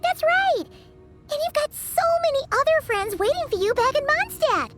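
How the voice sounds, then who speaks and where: A woman voicing a tiny, cheerful fairy companion in a video game, with a squeaky childlike voice and bubbly, excitable delivery. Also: moderate pace, faint music behind, full character voice. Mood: encouraging, eager. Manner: bubbly, exclamatory, refers to self in third person.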